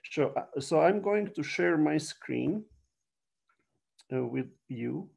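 A middle-aged man from Serbia with a Serbian accent, a native Serbian speaker, giving an explanatory online lecture with frequent halting pauses. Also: fairly high pitch, expressive, creaky, a little mellow, breathy edge. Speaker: university biology lecturer. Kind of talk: online lecture to a general audience.

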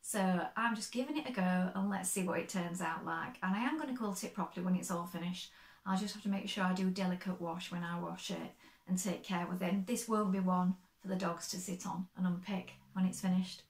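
Woman, 50s, crafting vlogger; slightly croaky, chatty explainer, roomy echo, fixed desk camera. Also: lowish voice, fairly quick. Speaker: sewing vlogger. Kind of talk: casual show-and-tell. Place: bright sewing room, indoors.

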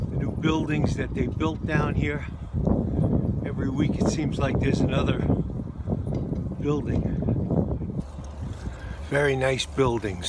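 Male vlogger, mid pitch, relaxed tour-guide chat from a bike, phone mic in heavy wind. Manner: relaxed, pointing things out as he rides. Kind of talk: casual narrated ride tour. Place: outdoors, riding a bike along a windy waterfront.

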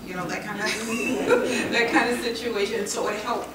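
A woman's voice: a little high, fairly even intonation, moderate pace, a loud crowd. A woman whose first language is German answers audience questions on a panel, chatting easily, laughing and searching for words now and then. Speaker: panel speaker. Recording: roomy, distant, noisy hall recording.

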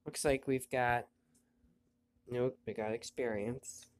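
Stuffy-nosed man chatting through a game. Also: slow pace. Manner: relaxed, chatty, reads story text in a quiet low voice. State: stuffy nose. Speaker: let's play host. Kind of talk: casual gameplay commentary, reading on-screen text aloud.

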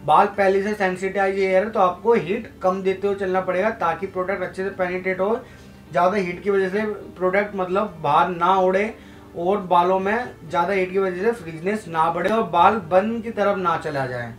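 A man's voice: sits high, steady tone, normal speed, low-quality recording. A young man teaching a hair treatment step by step in a chatty tutorial, often calling out to his viewers. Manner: chatty, repeats "guys" and fillers. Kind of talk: casual tutorial explanation. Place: indoor studio with blue lights.

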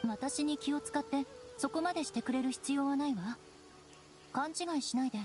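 Woman playing a high-voiced haughty young knight. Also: normal speed, natural voice. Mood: haughty, guarded. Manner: haughty, composed, defensive. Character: proud, aloof young noblewoman knight. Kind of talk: anime game voice acting. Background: game music.

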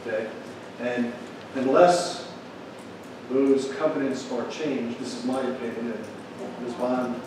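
A man speaks from far off in a heavily reverberant meeting hall, with clear noise around him. He explains procedure to a board in a measured, careful way. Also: medium pitch, steady tone. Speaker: town official advising a board. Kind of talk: explanatory talk at a public meeting. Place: large meeting room, distant camera. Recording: very echoey room, distant mic, noisy.

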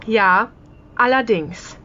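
Woman playing an indignant girl, fairly high. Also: takes it slowly, bright, forceful tone, muffled recording, a little hiss or hum. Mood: defiant. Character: defiant, indignant big sister, a young girl. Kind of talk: radio drama acting.

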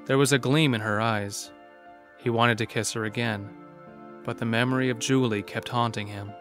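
A man's voice: medium pitch, fairly even intonation, normal speed. A man reading scripted narration for a horror story as its narrator. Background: faint music.

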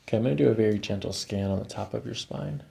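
A very gravelly American man with a dark, relaxed voice talks through a chiropractic exam. He explains calmly and puts short, clipped questions to the patient. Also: lowish voice, quick pace. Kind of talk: hands-on clinical exam narration, ASMR. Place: small carpeted clinic office, indoors.

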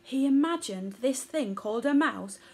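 A woman's voice: high voice, expressive, normal speed, slightly rough. A woman in her thirties narrating a children's storybook aloud, recorded indoors at arm's length. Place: small furnished room indoors.

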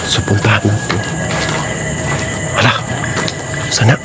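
Halting young man outdoors. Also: on the low side, flat delivery, very noisy. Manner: hesitant, halting, with fillers and repeated phrases. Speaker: vlogger exploring an abandoned building. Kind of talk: casual handheld walkthrough at night. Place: outdoors at night on overgrown grounds. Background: loud music bed.

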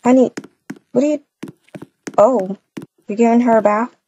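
A woman's voice: a little high, steady tone, normal speed, dark tone, highs cut off, slight background noise, natural voice. A woman playing an affectionate young mother in an improvised family game roleplay, casual, hesitant and doting.

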